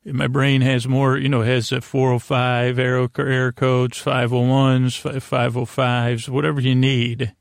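A middle-aged man hosting a bedtime podcast, rambling in a halting, stammering way with self-deprecating, gently silly asides and tangents. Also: medium pitch, level tone, gravelly, no room sound, studio quality. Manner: halting, stammering, repeats words and trails off into tangents. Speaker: podcast host.

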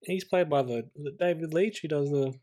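A man co-hosting a podcast in a casual film review chat, haltingly thinking through and rephrasing his points. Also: a little high, dark tone. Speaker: podcast co-host.

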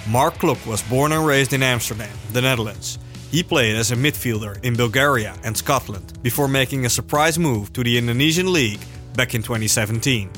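A man's voice: mid-range voice, brisk, soft background music. A man hosting a podcast, narrating a scripted intro and then chatting casually and friendly with a guest. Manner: relaxed, friendly, uses "man". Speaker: podcast host.

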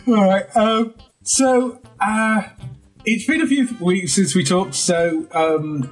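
A man's voice: high-pitched, takes it slowly, low-quality recording. A man hosting a casual podcast chat, hesitant with many fillers and easy laughs. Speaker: podcast host.

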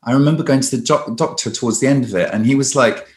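A talkative man with a mid-range voice tells stories about life as a stage performer. He restarts his sentences often and keeps a lively, conversational flow. His voice carries a noticeable room echo. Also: mid-range voice, fast talker, heavy background noise. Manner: chatty, many restarts, lively storytelling. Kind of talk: conversational interview.

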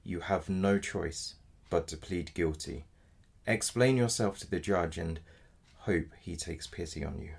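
An actor in an audio drama playing a polite but blunt defence solicitor, gravelly and measured in his delivery. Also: low-pitched, heavily acted. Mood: blunt, resigned. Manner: measured, matter-of-fact, formally polite. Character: apologetic but blunt male defence solicitor in dystopian future.

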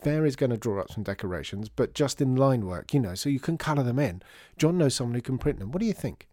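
A chatty, wry father, as a storyteller voices him, rambles happily about his work. His tone is mellow and a little lifted, with a dry deadpan edge. The recording is close and dry. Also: mid-range voice, fast talker, dry recording, close to an everyday voice. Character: cheerful fussy father, a toymaker, wry.

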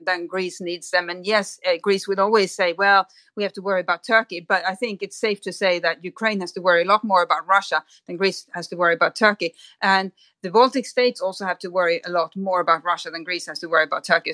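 Deliberate woman analyst, dry recording. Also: lowish voice, bright, forceful tone, dry recording. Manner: hesitant, deliberate phrasing with repeated words. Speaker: guest analyst. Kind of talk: spoken analysis in a discussion.

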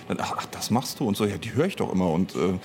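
Male interview guest, lowish pitch, thoughtful explainer with hesitant phrasing, slight background noise. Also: expressive, quick pace, a little mellow. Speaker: interview guest, audio drama writer and producer. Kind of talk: casual conversational interview.

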